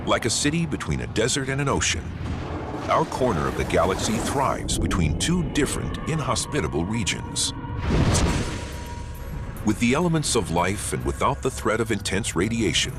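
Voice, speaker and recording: A man's voice: lowish voice, big pitch swings, normal speed. A man reading scripted documentary narration in a dramatic, punchy way, as the narrator. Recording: loud music bed behind voice. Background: loud music and effects.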